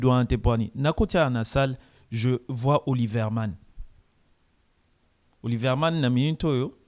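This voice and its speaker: A man speaks at a press conference. His voice sits at a middle pitch, and he answers in a measured, reflective way, dropping Lingala phrases between his sentences. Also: darkish tone. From Congo. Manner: mixes French with Lingala, reflective.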